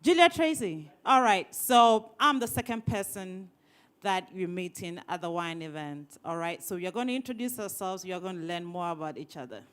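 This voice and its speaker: A woman leading a workshop session, lively and teasing, often repeating people's words back to them, speaking into a microphone to an audience. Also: lowish voice, normal speed, clean tone. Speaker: workshop trainer. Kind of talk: interactive training session. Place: training room with an audience.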